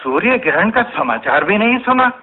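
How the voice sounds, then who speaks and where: A man acting an astonished townsman, excited and exclaiming, in radio drama dialogue. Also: high-pitched, moderate pace. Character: astonished male townsman gossiping about the missing sun. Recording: noisy, tinny narrow-band radio recording.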